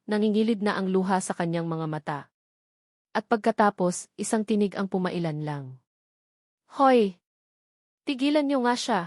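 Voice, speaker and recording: Female narrator for scripted romantic drama, mid-range pitch, dry sound. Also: a little mellow, dry recording. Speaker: story narrator.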